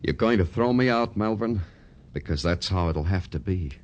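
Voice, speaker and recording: A man's voice: sits low, lively intonation, moderate pace, dull audio. A man playing a sardonic, world-weary police detective in a 1950s radio noir drama, with clipped, dry delivery. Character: hard-boiled, sardonic male Broadway police detective. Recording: old radio drama broadcast.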